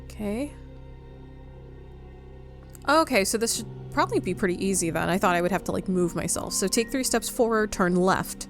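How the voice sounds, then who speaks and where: A woman's voice: mid-range voice, animated, normal speed, long pauses. A woman hosting a gaming channel, giving casual let's-play commentary as she plays. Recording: faint music behind the voice.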